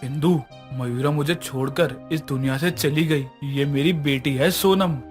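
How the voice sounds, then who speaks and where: A man's voice: fairly high pitch, a little slow, faint music behind, natural voice. A man acting a brusque, guilt-ridden middle-aged husband and father in a narrated moral story. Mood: remorseful, confessing.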